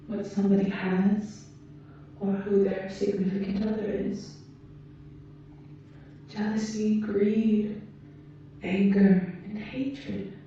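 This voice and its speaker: A woman voicing a gentle, solemn female alien protector in an ASMR roleplay, speaking in measured, pausing phrases as she gently counsels a human. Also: mid-range voice, close to an everyday voice. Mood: pained, earnest. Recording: muffled, very echoey, distant, hissy background noise.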